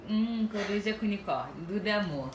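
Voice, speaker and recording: A Brazilian woman talks easily to viewers while filming at home, explaining things and nudging her daughter along. Her voice sits at an ordinary middle pitch. The phone picks her up in a boomy bedroom with a light hiss behind her. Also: animated, a little fast, reverberant, low-quality recording. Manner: chatty, explains and prompts her child. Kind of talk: casual chat to viewers.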